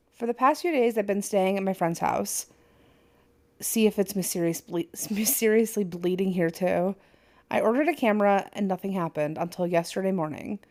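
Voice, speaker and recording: A woman's voice: mid-range voice, moderate pace, darkish tone. Woman reading a story aloud, chatty. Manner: reads aloud with asides and stumbles. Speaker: podcast co-host. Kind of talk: casual podcast chat, reading a forum post aloud.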